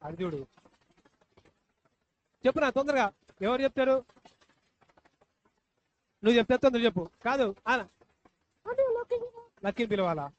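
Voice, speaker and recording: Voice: mid-range voice, lively intonation, a little slow, pauses often. A puppet show host talking live to children, coaxing and repeating questions. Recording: dull lo-fi live recording, faint noise.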